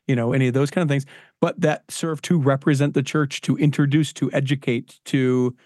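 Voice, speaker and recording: A man's voice: mid-range voice, steady tone, a little fast, a little mellow, no room sound, clean studio sound. A man hosting a podcast interview, talking enthusiastically and rambling with many restarts. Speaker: podcast host. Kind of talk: casual interview.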